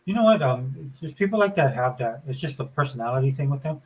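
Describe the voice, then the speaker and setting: A man with a mid-pitched, slightly whiny voice chats informally. His delivery is loose and conversational, sprinkled with like and dude. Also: lively intonation, fast talker, breathy edge, poor audio. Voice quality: somewhat whiny. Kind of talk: casual chat.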